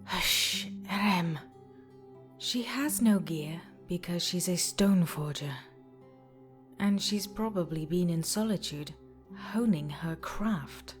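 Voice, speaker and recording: An actress plays a tall woman stone forger, a weary and solemn survivor. She speaks low and slowly, hushed and ominous, over faint music in a fantasy audio drama. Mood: chiding.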